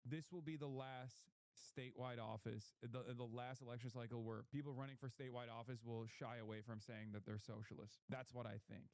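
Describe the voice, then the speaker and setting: A man streaming live, reacting to a debate with quick, profane commentary and mocking impressions. His voice sits at an ordinary pitch and sounds dry and close to the mic, with no room around it. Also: no echo. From Michigan. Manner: animated, profane, mocks with put-on quotes.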